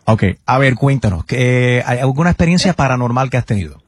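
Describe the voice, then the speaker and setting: A man's voice: mid-range voice, animated, fairly quick, breathy edge, dry recording. A man hosting a radio call-in show, bantering casually and reading out phone numbers. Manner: reads phone numbers, bantering, switches to English.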